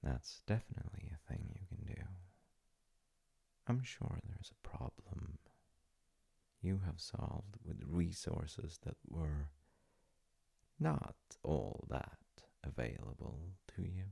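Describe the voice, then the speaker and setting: Very deep, gravelly man, slow storyteller. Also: big pitch swings. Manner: unhurried, halting, with pauses and soft asides. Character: gentle, playful dad telling his child a folk tale. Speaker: audio roleplay voice actor playing a dad. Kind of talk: intimate comforting roleplay, improvised-sounding bedtime storytelling.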